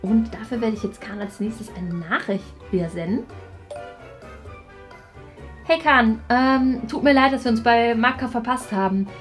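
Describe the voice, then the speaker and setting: A young woman talks casually as a vlog host. Her voice sits fairly high. She is recorded indoors on a handheld camera, with faint music behind her. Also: a little slow, pauses often. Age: young adult. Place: indoors, small craft studio and office rooms. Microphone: handheld vlog camera at arm's length.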